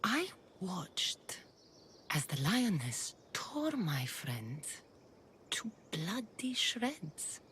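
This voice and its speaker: An actress playing a bitter young Greek warrior woman in a dramatic video game, speaking with menace and gravel in her voice. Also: on the low side, very animated, a bit bright, slight background noise, exaggerated voice. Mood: menacing. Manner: menacing, deliberate.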